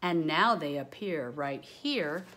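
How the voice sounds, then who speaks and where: A woman reading a picture book aloud with expressive, dramatic emphasis, lingering on words and adding casual asides. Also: sits low, slow pace. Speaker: read-aloud storyteller.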